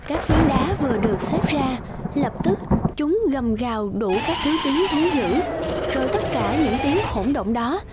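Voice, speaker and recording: A woman's voice: high-pitched, animated, a little slow, heavy background noise. A woman narrating a scripted fairy tale. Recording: music behind the voice.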